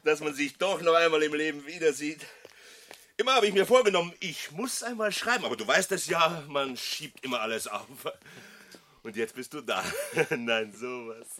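An actor playing a stout, jovial, excitable Austrian war veteran in a radio play, effusive and given to repeating himself. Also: male voice, a little high, moderate pace, slight background noise. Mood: overjoyed. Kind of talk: German radio play acting.

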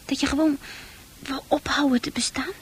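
A woman's voice: high-pitched, steady tone, normal speed, slight background noise, close to an everyday voice. An actress playing a troubled eighteen-year-old girl in a radio play, hesitant and wistful, guarded but longing to escape. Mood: wistful, melancholy. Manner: hesitant, wistful delivery with repeated words.

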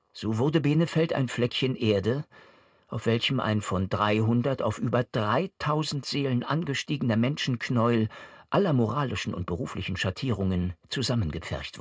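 A man giving a formal, scripted reading in a measured and earnest manner. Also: fairly low pitch, slightly rough, no room sound, studio quality. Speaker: reader of an official letter.

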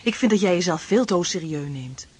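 An actress playing an affectionate, playful young lodger in a radio drama, coaxing and direct. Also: female voice, on the low side, expressive, fairly quick, husky. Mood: reproachful. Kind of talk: Dutch radio drama acting.

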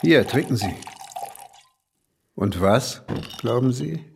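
An actor playing a brawny, cryptic village pub landlord in an audio drama, terse and deadpan with a slightly eerie air. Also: male voice, medium pitch, takes it slowly, gravelly, background noise. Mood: matter-of-fact. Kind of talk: German audio drama acting.

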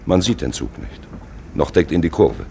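An actor playing a probing, brooding narrator who guides listeners round an accident scene in a German radio play, confiding and insistent, with a gravelly, husky voice. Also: male voice, extremely low pitch, very animated, normal speed, dark tone, a little hiss or hum, exaggerated voice. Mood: brooding, intent. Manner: insistent, confiding, rhetorical asides.

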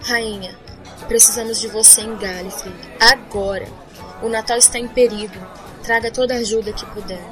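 A woman's voice: on the high side, fairly even intonation, normal speed, music in the background, close to an everyday voice, low-quality recording. A woman playing an urgent official delivering a summons in an audio drama. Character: urgent female official sending a confidential summons.

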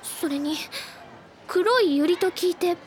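A woman voicing a dramatic, excitable teenage schoolgirl who is a club senior, talking theatrically and swinging between hushed whispers and outbursts. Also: extremely high pitch, slow, a little hiss or hum. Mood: fretful. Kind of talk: studio audio drama.

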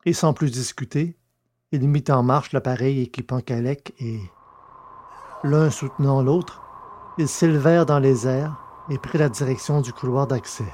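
A man narrating a science-fiction audio drama in a measured, deliberate storytelling manner. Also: mid-range voice, dark tone, slight background noise. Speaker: narrator and producer.

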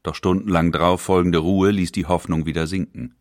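A man playing a patient inventor, reading his memoir in a deliberate, formal way. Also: low voice, fairly even intonation, dry recording, clean studio sound, lightly acted. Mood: tense, hopeful. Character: Werner Siemens, patient anxious inventor recalling events. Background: music.

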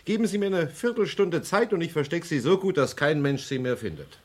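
A man's voice: on the high side, expressive, brisk. A male actor in a German crime radio play, playing a firm, matter-of-fact investigator with an authoritative manner. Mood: insistent, reasoned. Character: brisk, authoritative male investigator loyal to his men.

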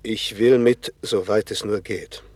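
An actor playing a troubled prophet fleeing his God by sea in a 1960s German radio play, low and husky, in slow halting phrases. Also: fairly even intonation, a little mellow, no room sound, clean studio sound. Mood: urgent, insistent.

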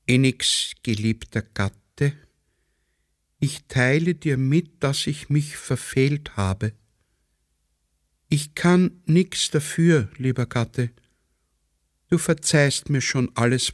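A man voicing a naive village wife in a radio play, haltingly reading out a letter in simple, pleading tones. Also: medium pitch, animated, no echo, clean studio sound.

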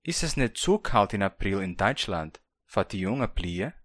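A man's voice: fairly low pitch, big pitch swings, normal speed, fairly bright tone, dry recording, studio quality. A man with a Brazilian Hunsrik German accent, reading the student part of a scripted textbook dialogue in a plain, questioning manner. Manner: plain, questioning learner's delivery. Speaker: language course reader.

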